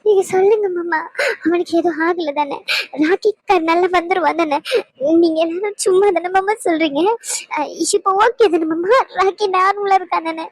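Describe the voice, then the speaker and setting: A woman's voice: extremely high pitch, fairly even intonation, normal speed, no echo. A woman playing a sobbing, pleading young woman. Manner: tearful, pleading, stubborn. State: crying. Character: distraught young woman begging her uncle. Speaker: heartbroken young woman in hospital.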